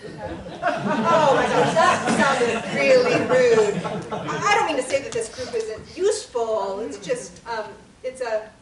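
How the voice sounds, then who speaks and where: A woman plays an anxious young woman in group therapy, her voice slightly high. She rambles and hesitates, as if checking herself mid-sentence. The recording sounds distant and roomy. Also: slow, a loud crowd. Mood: uneasy. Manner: hesitant, rambling, self-conscious. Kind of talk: table reading of a stage play. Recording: echoey room from a distant mic.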